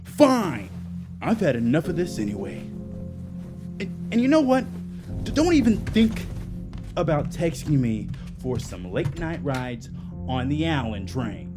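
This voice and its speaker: A man's voice: fairly high pitch, big pitch swings, over music. A man playing a cocky, clueless athletic young boyfriend in a comedic audio drama. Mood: defensive, wounded. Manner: cocky, drawn-out delivery.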